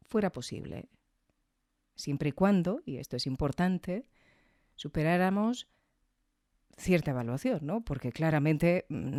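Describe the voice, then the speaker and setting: A woman's voice: deep voice, dark tone, no room sound, studio quality. A woman hosting a podcast, giving reflective, conversational scripted commentary with tag questions. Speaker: podcast host.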